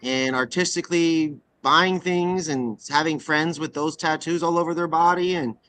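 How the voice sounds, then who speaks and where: A man's voice: a little high, steady tone, normal speed, a bit bright, slight background noise. A man from the Pacific Northwest hosting a podcast, asking long, rambling questions with enthusiasm. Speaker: podcast host. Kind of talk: conversational podcast interview.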